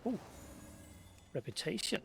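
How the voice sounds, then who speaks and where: A man's voice: unhurried, over music. A man giving casual let's play commentary, tossing out short offhand reactions as he plays. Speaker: gaming video host.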